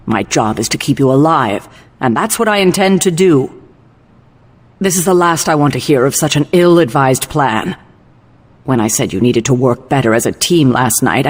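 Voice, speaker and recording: A man's voice: a little high, natural voice. A man playing a stern, protective middle-aged mentor with a sardonic, commanding manner in a video game. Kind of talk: video game cutscene acting.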